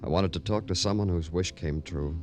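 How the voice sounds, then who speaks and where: An American man playing a brooding, hardened New York detective in 1950s radio crime drama style, speaking in a terse, moody, deliberate way. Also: low voice, a little mellow, close to an everyday voice. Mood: quiet, guarded. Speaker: lead actor and narrator. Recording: vintage radio recording with music.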